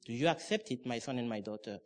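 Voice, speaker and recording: A man's voice: medium pitch, lively intonation, quick pace. A middle-aged man from Cameroon preaching a sermon, emphatic and conversational, with restarts and asides.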